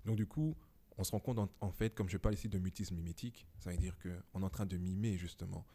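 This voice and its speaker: A man in his twenties, a podcast guest talking casually and reflectively at home, pausing often with fillers. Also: low voice, warm tone, breathy edge. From Belgium. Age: 20s. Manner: reflective, many hesitations.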